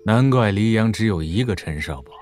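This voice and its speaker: A man's voice: fairly low pitch, lively intonation, fairly quick, a little mellow, soft background music, natural voice. A man playing a jocular, hard-drinking official with a casual, teasing, wry manner in a Chinese wuxia audio drama.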